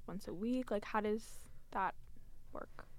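A woman's voice: medium pitch, measured pace. A young woman co-hosting a podcast, chatting casually and animatedly, peppering her talk with "like" and laughing often. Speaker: podcast co-host. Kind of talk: casual group chat.

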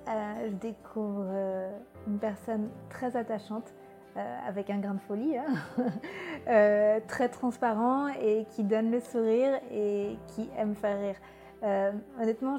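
A woman hosting a casual remote podcast interview, chatty and often pausing with hesitations. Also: mid-range voice, mellow. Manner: chatty, with many hesitations and laughs. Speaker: podcast host. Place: home, remote call.

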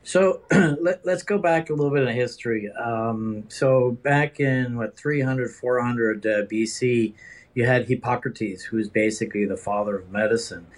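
A man from the Netherlands answering interview questions as a doctor, conversational, with hesitant, halting phrasing. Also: medium pitch. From Netherlands, raised in several countries. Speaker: interview guest, regenerative medicine doctor.

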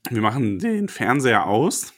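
A man's voice: medium pitch, sing-song, moderate pace, fairly bright tone. A man co-hosting a casual podcast, joking and giggling through the banter. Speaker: podcast co-host.

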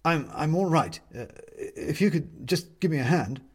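A man voicing a grumpy, solitary man in a storybook, dazed and sheepish, with halting, hesitant politeness. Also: fairly high pitch, expressive, a little mellow, pitched above the performer's own voice. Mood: dazed, flustered.

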